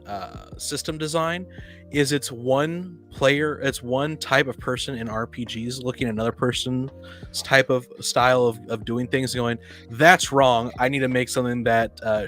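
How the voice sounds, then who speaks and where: A man's voice: medium pitch, a bit bright. A man in a casual podcast discussion, blunt and opinionated, often stumbling and repeating his words. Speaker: podcast panelist. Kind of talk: casual opinionated discussion of tabletop roleplaying games.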